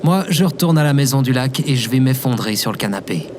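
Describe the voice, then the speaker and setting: A man's voice: medium pitch, expressive, a little fast, slight background noise, natural voice. A man playing a reluctant, easily flustered human leader in a comedic audio drama. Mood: exasperated.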